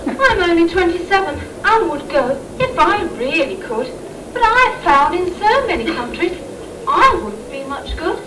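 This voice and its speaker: A woman's voice: very high-pitched, very animated, normal speed. A woman playing a reluctant young business partner in an amateur rhyming Christmas pantomime. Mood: evasive. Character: reluctant twenty-seven-year-old business partner making excuses. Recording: noisy echoey distant stage recording.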